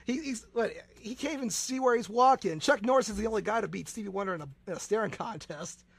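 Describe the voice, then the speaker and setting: A man's voice: high-pitched, quick pace, gravelly, husky. A man hosting a comedic radio interview, bombastic and mock-dramatic, often stammering and repeating his words. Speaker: radio show host.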